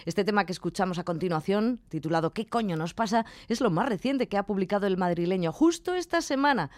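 A woman's voice: mid-range voice, expressive, fast, dry recording. A woman hosting a radio music show, chatting directly to the listener as she introduces songs. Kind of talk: radio presenting between songs.

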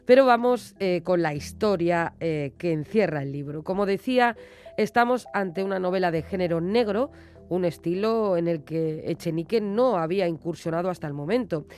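Low-pitched woman presenting a book review. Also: moderate pace, a little mellow, soft background music. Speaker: radio book show host and reviewer. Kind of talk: semi-scripted book review narration.

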